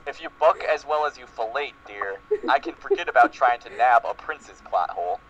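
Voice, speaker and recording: A man's voice: medium pitch, huge pitch swings, moderate pace, fairly bright tone. A man giving an amateur dramatic reading of fan fiction as part of a group. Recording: muffled lo-fi voice-chat recording.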